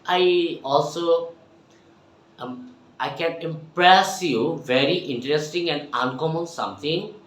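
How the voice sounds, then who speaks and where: A man from Bangladesh with a Bangladeshi English accent, teaching English by repeating tongue twisters slowly and emphatically. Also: a little high, fairly bright tone. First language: Bengali. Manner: repeats phrases slowly and emphatically, addresses viewers as my dear. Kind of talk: tongue twister drill lesson. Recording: echoey room, faint background noise.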